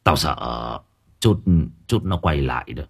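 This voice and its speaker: A man voicing a kindly, resigned old labourer in an audio story, gentle, cautious and fatherly. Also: rumbling low, animated, slow, vocal fry, breathy edge, pitched below the performer's own voice, full character voice. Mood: worried, urging. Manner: gentle, worried, advising patience.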